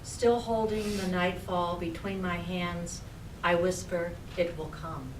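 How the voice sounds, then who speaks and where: A woman recites her own poem slowly at a live reading. She sounds set back from the mic in a reverberant room, with clearly audible noise. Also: fairly low pitch, steady tone, distant mic. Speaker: poet reading own work. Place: public library reading room.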